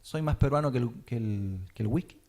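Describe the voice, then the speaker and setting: A man's voice: medium pitch, brisk, darkish tone. Teacherly man preaching. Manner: teacherly, checks in with listeners often. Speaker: teacher of a Messianic congregation. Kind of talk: sermon teaching.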